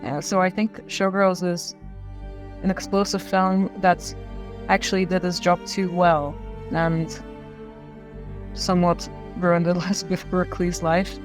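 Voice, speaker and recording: A woman's voice: on the low side, level tone, a little slow, faint music behind. Enthusiastic woman chatting about films. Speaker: filmmaker discussing films as a guest. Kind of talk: casual film commentary.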